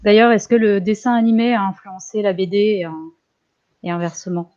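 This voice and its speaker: A woman's voice: mid-range voice, brisk, a little mellow. A woman with a French accent moderating a panel, asking questions in an informal, conversational way. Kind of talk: informal interviewing. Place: public panel at a comics festival. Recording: muffled, dull recording.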